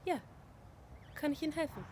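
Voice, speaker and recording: A woman playing a polite war widow in a radio drama, halting and tentative. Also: high-pitched, animated, a little mellow, slight background noise. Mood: wary, polite. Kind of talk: student radio drama acting.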